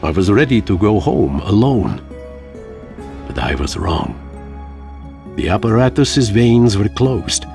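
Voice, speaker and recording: An actor playing a weary, pompous older adventurer, narrating his tale in a dramatic, florid, deliberate way for a game cutscene. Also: fairly low pitch, sing-song, gravelly, over music, exaggerated voice. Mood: somber, reflective.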